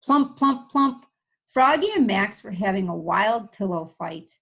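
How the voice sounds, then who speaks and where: A woman's voice: medium pitch, expressive, measured pace, vocal fry, a bit bright, slightly rough. A woman reading a storybook to young children, adding sound effects and simple explanatory asides. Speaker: read-aloud presenter for young children.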